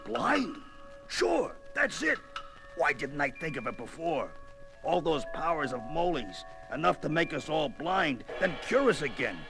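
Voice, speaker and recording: An actor playing a gruff, wisecracking monster superhero who narrates in slangy tough-guy style in a comic-book audio drama, with a gravelly voice. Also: fairly high pitch, big pitch swings, unhurried, over music, exaggerated voice. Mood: pondering, determined.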